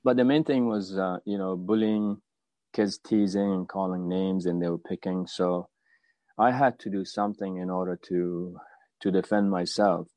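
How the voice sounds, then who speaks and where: A man in his fifties from Afghanistan telling his own story as an interview guest, with a halting, hesitant delivery. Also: low-pitched, steady tone, darkish tone, dry recording. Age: 50s. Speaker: guest, martial arts teacher.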